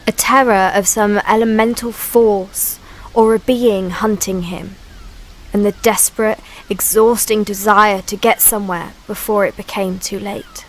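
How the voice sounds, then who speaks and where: A woman playing the sea itself as a watchful spirit, narrating a radio play in a measured, poetic way. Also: mid-range voice, fairly even intonation, poor audio. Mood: watchful, ominous. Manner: measured, literary storytelling.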